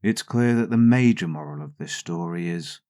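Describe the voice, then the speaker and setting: A man with a low voice telling stories in a theatrical, wry manner. His intonation moves around a lot, and the recording is close and dry. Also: expressive, moderate pace, warm tone, dry recording. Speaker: storyteller, show host. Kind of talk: dramatic fairytale narration with sardonic commentary.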